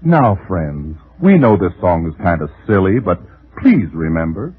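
A man with a husky voice announcing on a vintage radio show. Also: deep voice, big pitch swings, a little slow. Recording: thin narrow-band old radio, music behind.

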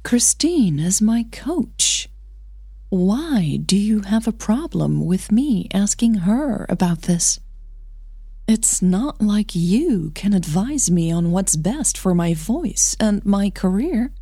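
A woman voicing a soft-spoken, gentle young female opera singer in an audio drama. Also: mid-range voice, sing-song, slow pace, husky, no echo, clean studio sound, full character voice. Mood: hurt, defensive.

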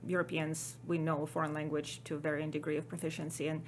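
A woman from Ukraine with a Ukrainian accent, a podcast guest talking in a measured, explanatory way with frequent ums. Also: deep voice, little pitch movement, a little hiss or hum. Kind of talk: interview conversation.

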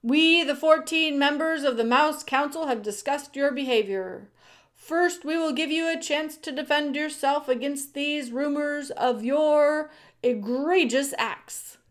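A stern, self-important male head mouse from a storybook, played by a woman reader. The voice is raised in pitch and formal, with measured, judicial authority. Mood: formal, accusing.

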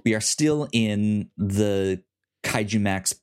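A man's voice: lowish voice, slow, clean tone. A man co-hosting a casual podcast, chatty, often stumbling and restarting his words. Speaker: podcast co-host.

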